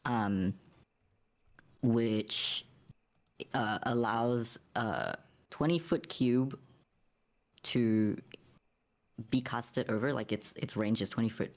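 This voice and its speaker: Halting man playing a tabletop game. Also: mid-range voice, steady tone. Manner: halting, thinking aloud. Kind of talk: casual explaining during a tabletop game.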